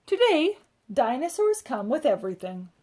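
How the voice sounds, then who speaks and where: A woman's voice: high voice, sing-song, moderate pace, a little mellow, a little hiss or hum, pitched above the performer's own voice. A woman voicing a kindly, matter-of-fact nurse who explains things breezily in a children's storybook. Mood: friendly.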